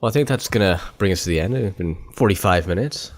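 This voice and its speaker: A man hosting a podcast, chatting in a relaxed, upbeat way with his guest and wrapping up the show. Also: low-pitched, fast, dry recording. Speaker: podcast host. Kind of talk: casual interview and outro.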